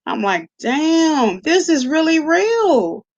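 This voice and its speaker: Higher-pitched woman, casual gossipy vlogger. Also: sing-song, slow pace, dull audio. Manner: informal, gossipy, salty slang, rhetorical check-ins like "right?". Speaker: online commentary channel host. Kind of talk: casual chatty reaction vlog.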